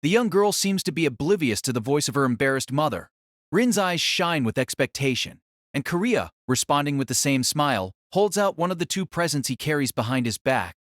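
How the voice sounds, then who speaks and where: A man narrating a novel in a solemn, theatrical style with deliberate phrasing. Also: fairly high pitch, big pitch swings, a bit bright, no echo, studio quality. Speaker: audiobook narrator. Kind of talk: dramatic scripted novel narration.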